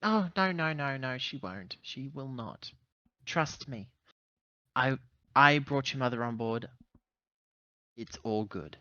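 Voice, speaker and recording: A man with a lively, swooping delivery at a middle pitch, joking around as a tabletop player. The recording is dry and slightly dull, like voice chat. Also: slow, pauses often, dry recording. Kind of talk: animated casual tabletop game chat.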